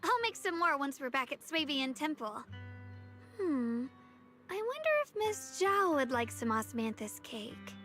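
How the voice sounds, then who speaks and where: An actress playing a sweet, caring young woman in an anime-style video game, speaking in a gentle, earnest and slightly hesitant way. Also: high-pitched, big pitch swings, unhurried, full character voice. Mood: shy, eager. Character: sweet, caring young woman who bakes, shyly wistful. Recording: background music under voice.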